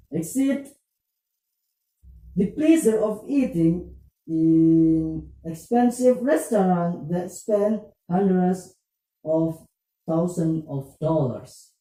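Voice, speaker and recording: A puppet show presenter, speaking scripted religious dialogue in halting, deliberate English. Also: medium pitch, warm tone. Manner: halting, deliberate phrasing in non-native English. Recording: roomy echo, distant muffled microphone.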